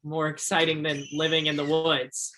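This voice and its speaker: An actor playing an earnest, curious twenty-two-year-old backwoods local in a stage play, gentle and simple in manner. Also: medium pitch, level tone, moderate pace, close to an everyday voice, low-quality recording.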